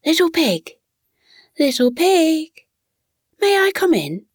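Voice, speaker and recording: A woman voicing a shy, gentle, polite storybook wolf who speaks softly and courteously over a bedtime tale. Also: very high-pitched, big pitch swings, slow, dry recording, clean studio sound, pitched above the performer's own voice, exaggerated voice. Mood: polite, calling. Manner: soft-spoken, courteous.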